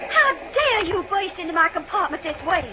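A woman's voice: very high voice, animated, moderate pace. An actress playing an indignant, easily frightened lady train passenger with a Southern American accent, in old radio drama style. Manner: huffy, theatrical, exclaiming. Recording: dull old radio recording, faint noise.

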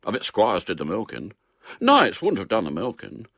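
A man's voice: fairly low pitch, wildly swooping pitch, moderate pace, a bit bright, dry recording, studio quality, exaggerated voice. A man voicing a reluctant young boy squire in a storybook, grumbling and naive.

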